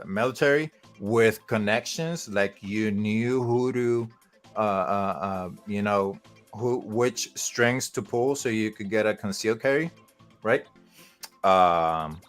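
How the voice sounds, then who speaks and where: Podcast guest, man, forties, from Puerto Rico; low voice, rambling, faint music bed. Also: fairly bright tone, clear, resonant tone. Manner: rambling, many fillers and restarts. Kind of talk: casual storytelling.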